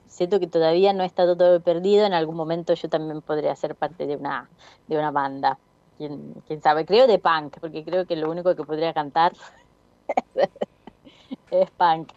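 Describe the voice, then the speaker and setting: This is a low-pitched woman who speaks with an Italian accent. She answers questions in a hesitant, casual way, filling her pauses with little sounds and restarting her phrases. The recording is dry and slightly muffled. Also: dark tone, no room sound. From Italy. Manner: hesitant, many fillers and restarts. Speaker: filmmaker guest. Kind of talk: casual interview.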